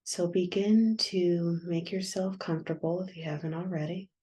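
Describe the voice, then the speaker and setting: A woman guiding a yoga nidra meditation in a soft, soothing, unhurried manner with long pauses. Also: fairly low pitch, steady tone. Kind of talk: guided meditation.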